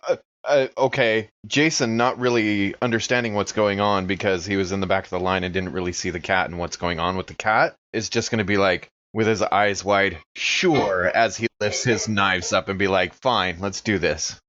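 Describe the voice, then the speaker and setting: Low-voiced man, slightly muffled recording. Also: fairly even intonation, normal speed, a bit bright. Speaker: player in a tabletop game podcast. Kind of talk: casual animated game banter.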